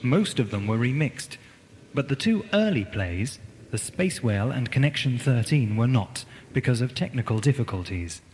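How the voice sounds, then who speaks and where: Casting: male announcer, mid-pitched, formal scripted read, reverberant with faint noise behind. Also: animated, normal speed, a little mellow. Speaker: announcer for an audio drama producer.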